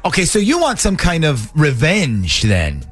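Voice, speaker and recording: A man's voice: fairly high pitch, huge pitch swings, measured pace, soft background music. A man hosting a radio phone-in show, talking in a casual, joking way. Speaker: morning radio show host.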